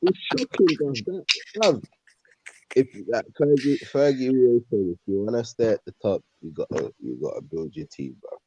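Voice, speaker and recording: A man's voice: medium pitch, lively intonation, normal speed, mellow, breathy edge, dull audio. A man in casual football banter, slangy, emphatic and exasperated. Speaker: podcast panellist.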